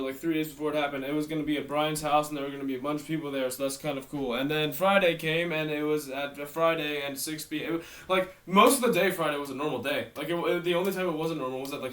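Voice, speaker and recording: Hoarse rambling teen guy, off mic. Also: a little high, a bit bright, far from the mic, a little hiss or hum. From Maryland. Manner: rambling, self-interrupting, self-mocking asides. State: voice worn out and tired. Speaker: YouTuber. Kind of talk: casual storytime vlog.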